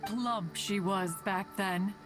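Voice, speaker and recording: Woman acting an ancient proud immortal, anime game, medium pitch, stately archaic diction, doting, background music. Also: slow, natural voice. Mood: fondly chatty. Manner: stately, archaic, haughty diction, refers to self as one.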